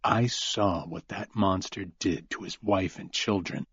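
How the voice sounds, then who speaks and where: A man's voice: medium pitch, sing-song, measured pace, no room sound, dull audio. A man playing a blunt, defiant father. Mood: snarling. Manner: wry and blunt, snarling when angry.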